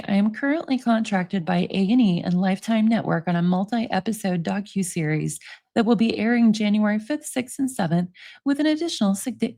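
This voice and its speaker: A woman in her forties who hosts a commentary channel, reading emails aloud in a steady, measured voice and then chatting casually between them. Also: mid-range voice. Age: 40s.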